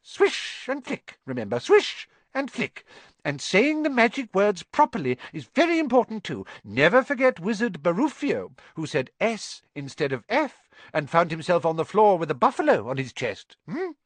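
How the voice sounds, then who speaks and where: Tiny old wizard teacher, high and squeaky, fussy, very lively pitch, storybook reading, dry close sound. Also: male voice, normal speed, no echo, heavily acted. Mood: instructive. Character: tiny squeaky elderly male charms professor.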